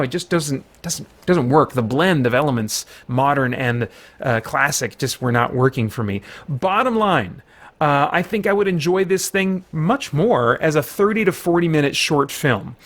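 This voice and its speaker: A man's voice: on the high side, lively intonation, normal speed, a bit bright. A man talking through a film review in a casual, conversational way, rambling a little as he explains his opinions. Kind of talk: casual spoken movie review.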